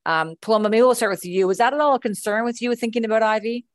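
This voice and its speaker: A woman's voice: a little high, fast talker, no room sound, studio quality. A woman recruiter hosting an online information session, friendly and chatty with many fillers and restarts. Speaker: admissions recruiter and webinar host.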